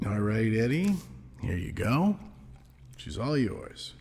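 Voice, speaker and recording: A man's voice: on the low side, very animated, slow, darkish tone, full character voice. A male actor playing a wry, hard-nosed police detective in a noir audio drama, with a needling, streetwise manner. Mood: coaxing, dry. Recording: long reverb, clear music underneath.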